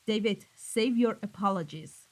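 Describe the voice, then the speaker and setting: Menacing female crime boss, played by a woman, mid-pitched, stern and commanding, for an audio roleplay. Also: measured pace, natural voice. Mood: scornful. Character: cold, menacing female mafia boss dealing in weapons. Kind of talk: scripted audio roleplay drama.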